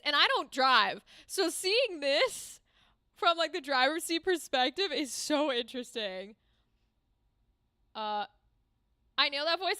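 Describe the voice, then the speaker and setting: A young American woman streaming a game, reacting excitedly and swearing freely as she plays. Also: very high-pitched, no echo, studio quality. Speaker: streamer playing games. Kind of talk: live game commentary.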